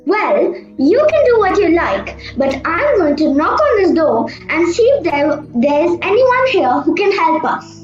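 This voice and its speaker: A woman playing a sensible, bossy young sister in a radio play, brisk and scolding. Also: very high-pitched, big pitch swings, faint music behind, exaggerated voice. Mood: firm. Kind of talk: amateur radio play acting. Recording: muffled, echoey room recording.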